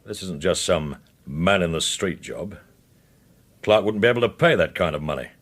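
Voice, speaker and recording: An actor playing a menacing government agent in a radio drama, interrogating with a deliberate, insinuating and coolly threatening manner. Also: male voice, sits low. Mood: accusing.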